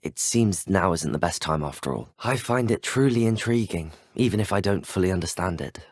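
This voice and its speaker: A man's voice: deep voice, expressive, a little fast, no echo, clean studio sound. A young man with a British accent, acting as a polite, curious teenage wizard schoolboy in a video game, speaking in a courteous, formal, well-spoken way. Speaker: voice actor for a player character. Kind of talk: video game character dialogue.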